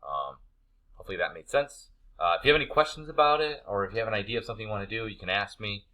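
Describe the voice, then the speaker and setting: A male instructor with a low voice and a bright, forward edge. He walks students through an assignment in informal language, keeping an easygoing, laid-back manner. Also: lively intonation, racing. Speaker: instructor of an animation class. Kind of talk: informal spoken assignment walkthrough.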